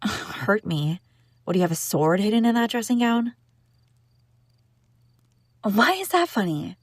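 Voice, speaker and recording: A woman playing a sharp-tongued young princess bride who is secretly lovesick, in an intimate audio roleplay, teasing and indignant with sighs and broken-off phrases. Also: medium pitch, very animated, measured pace, no echo, studio quality. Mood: bitter, vulnerable. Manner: teasing, indignant, sighing, breaking off mid-sentence. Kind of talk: intimate scripted audio roleplay addressed to listener.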